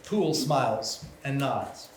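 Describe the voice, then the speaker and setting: A man's voice: mid-range voice, slow pace. A man reading stage directions as narrator in scripted narration at a live table read. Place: live event room. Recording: echoey room, clear background noise.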